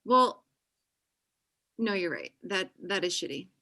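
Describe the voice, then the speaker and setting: Bright-voiced, blunt young woman friend, acted. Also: fairly low pitch, expressive, takes it slowly, full character voice. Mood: apologetic, earnest. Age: young adult. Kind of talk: acted pilot table read, naturalistic. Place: small room at home, video call. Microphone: computer video-call mic.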